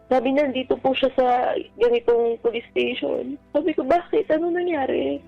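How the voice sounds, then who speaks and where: A woman calling a radio show by phone, telling a personal story haltingly and politely, quoting dialogue as they go. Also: sits high, fairly even intonation, vocal fry, soft background music. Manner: halting, polite, uses respectful "po" often. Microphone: telephone. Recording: dull, band-limited radio phone call.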